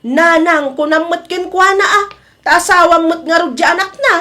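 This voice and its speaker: A meddling Ilocano shopkeeper , acted by a woman, talks in a high voice. She is chatty and confiding, with a disapproving edge suited to village comedy drama. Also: moderate pace, pushed and bright. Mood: curious.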